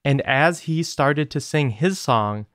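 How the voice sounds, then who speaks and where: A man's voice: a little high, expressive, slow, fairly bright tone, no echo, studio quality. A man co-hosting a podcast, reading listener emails aloud and chatting casually. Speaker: podcast co-host.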